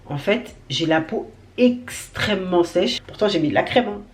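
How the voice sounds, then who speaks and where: A woman's voice: on the low side, animated, normal speed. A young woman with a cold chatting casually to her vlog audience, candid and slangy, grumbling and poking fun at herself. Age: young adult. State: has a cold. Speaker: vlogger.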